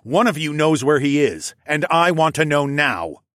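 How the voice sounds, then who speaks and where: A man's voice: mid-range voice, expressive, normal speed, a bit bright, no room sound, studio quality, lightly acted. A man playing a commanding warrior who interrogates captives, snarling and hissing threats through gritted teeth in an audiobook. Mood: cold threat.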